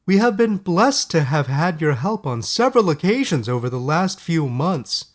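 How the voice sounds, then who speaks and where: Man putting on a high, blustering, suspicious town leader. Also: very animated, moderate pace. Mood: reluctant, flustered. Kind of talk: fairy-tale audiobook character voice.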